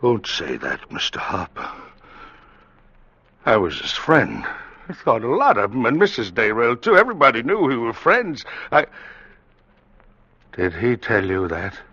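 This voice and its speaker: An actor playing a guilt-crazed, haunted ship captain, pleading and raving in melodramatic old-time radio drama style. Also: male voice, mid-range voice, wildly swooping pitch, unhurried, pauses often, sharp tone, breathy edge, full character voice. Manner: frantic, rambling, melodramatic. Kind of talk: 1940s-50s radio drama acting. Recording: dull, noisy vintage radio recording.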